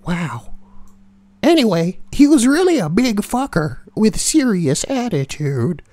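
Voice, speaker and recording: Woman with lively, crude comic delivery. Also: mid-range voice, measured pace. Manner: crude, sweary, joking asides. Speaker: online narrator and commentator. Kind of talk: reading forum posts aloud with crude comic commentary.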